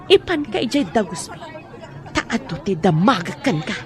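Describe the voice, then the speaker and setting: A woman's voice: mid-range voice, big pitch swings, normal speed, crowd noise, close to an everyday voice. An actress playing a menacing woman who threatens and interrogates a captive in an Ilocano radio drama. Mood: threatening. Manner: threatening, shouting. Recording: radio drama with music underneath.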